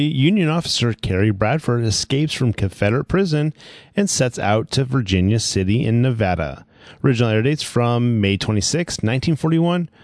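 A man's voice: medium pitch, no room sound. A man hosting a show, reading a scripted introduction close to the microphone. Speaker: podcast host.

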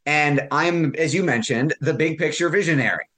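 A man's voice: fairly high pitch, normal speed, a bit bright. A man guest on a podcast who talks in a joking, self-deprecating way and tells playful stories. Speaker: podcast guest, author and business owner. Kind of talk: casual podcast interview.

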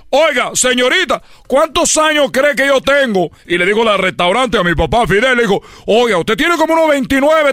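A man's voice: sits high, a bit bright, no echo, clean studio sound. A man playing a boastful baseball player, who rambles and stammers through his stories. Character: boastful Cuban baseball player, claims a famous father.

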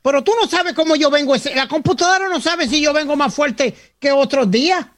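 A man with a very high voice presses his argument in casual talk, insisting and circling back to his point. He speaks as a former ballplayer and co-host. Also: brisk, fairly bright tone. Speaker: co-host, former baseball player. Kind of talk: casual studio banter.

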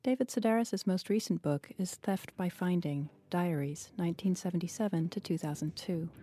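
A woman hosting a literary podcast, speaking in a measured, articulate and analytical way. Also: lowish voice, mellow. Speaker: podcast host, interviewer. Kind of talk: literary interview and podcast narration.

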